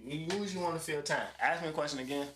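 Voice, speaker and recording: A man co-hosting a podcast, defending himself in fast, profane casual talk. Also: on the high side, roomy sound, a little hiss or hum, rough recording. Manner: defensive, fast-talking, loud bursts, profane. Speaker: podcast co-host. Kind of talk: heated casual debate.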